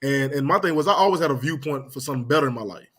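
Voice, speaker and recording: A man's voice: medium pitch, animated, racing, fairly bright tone. A man in his thirties hosting a podcast, talking loosely and repeating "man" and "you know what I'm saying" as he goes. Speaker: podcast host. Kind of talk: casual podcast chat.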